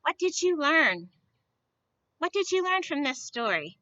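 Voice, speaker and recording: Woman, children's storyteller, slow deliberate delivery, expressive phrasing, slightly muffled dry recording. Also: high-pitched, no room sound. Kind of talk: read-aloud storytelling for young children.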